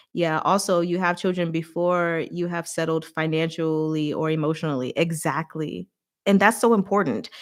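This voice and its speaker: Candid, animated woman chatting casually on livestream. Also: lowish voice. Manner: animated and candid, acts out imagined dialogue, laughs often. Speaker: livestream host. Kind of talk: casual rambling livestream chat, reading and answering viewer comments.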